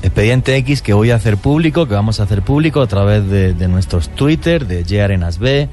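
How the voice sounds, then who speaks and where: A man hosting a radio talk show about mysteries, talking with animated enthusiasm. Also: on the low side, a little fast, faint music behind. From born outside Colombia.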